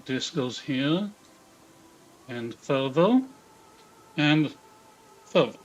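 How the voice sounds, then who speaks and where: A man with an Israeli accent hosts a live game stream, answering chat and muttering clipped fragments to himself as he works. His voice sits at a middle pitch. The sound is dull and lo-fi. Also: animated, very slow, soft background music. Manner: mutters half-sentences to himself while building a character. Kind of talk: live gaming stream, reading chat aloud.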